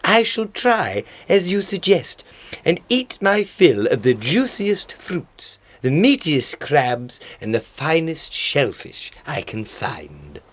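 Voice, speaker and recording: A man's voice: fairly high pitch, wildly swooping pitch, slow, fairly bright tone, heavily acted. A man playing a vain, gullible, bumbling dodo in a radio play, pompous but faltering. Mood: pompous, grand. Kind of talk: radio play character acting.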